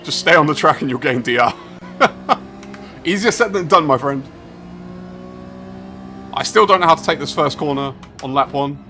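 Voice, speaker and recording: A man's voice: on the high side, lively intonation, normal speed, long pauses, bright, forceful tone. A man in his thirties streaming a racing game live, chatting casually and rambling with a laugh now and then. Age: 30s. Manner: chatty and rambling, laughs often, talks to chat.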